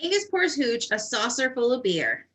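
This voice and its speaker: A woman narrating a comedy radio play in a wry, playful way. Her voice is bright and sharp, a little high, and lively. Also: moderate pace.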